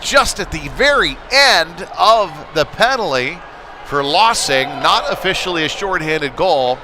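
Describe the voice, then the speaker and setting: A man's voice: on the high side, unhurried, crowd noise. Man calling hockey over rink noise. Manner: conversational, with excited bursts on big plays. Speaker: play-by-play sports broadcaster. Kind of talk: live youth hockey commentary. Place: ice rink, live broadcast. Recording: noisy arena ambience behind the voice.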